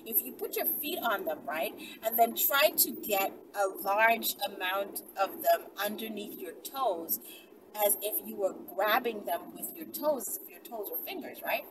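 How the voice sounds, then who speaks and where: A young adult woman chatting casually to her viewers like a vlogger, animated and conversational, often checking in with short tags. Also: mid-range voice, unhurried. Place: inside a car in daylight. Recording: distant mic, noisy car interior.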